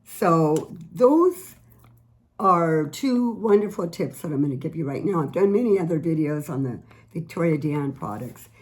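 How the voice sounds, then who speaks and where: A middle-aged woman, a little raspy today, chatting earnestly about skin care products she sells. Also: sits low, expressive, measured pace. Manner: chatty, earnest, repeats words. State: slightly raspy voice. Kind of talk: casual product tips vlog.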